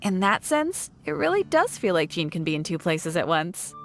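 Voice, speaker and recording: A woman's voice: on the low side, sing-song, normal speed, a bit bright, faint music behind. A woman playing a friendly, playful townswoman in a fantasy video game, chatty and gracious. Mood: appreciative. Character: friendly, teasing female shopkeeper in fantasy town.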